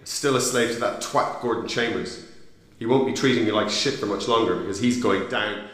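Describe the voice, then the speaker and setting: A man's voice: lowish voice, normal speed, fairly bright tone, natural voice. A man acting a cold, sneering secret agent who gloats and taunts, in a radio drama. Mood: taunting, bitter. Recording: very echoey room.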